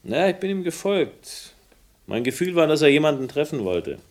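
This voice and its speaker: An actor playing a wry, world-weary detective who narrates a radio crime play in a dry, sardonic, wordy way. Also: male voice, a little high, big pitch swings, moderate pace. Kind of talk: German radio crime drama acting and first-person narration.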